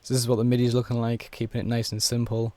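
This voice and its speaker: A young man with a hoarse, worn voice, casually walking through a music production tutorial step by step with plenty of fillers. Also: medium pitch, monotone, racing. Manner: laid-back, explains step by step with many fillers. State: hoarse, losing his voice.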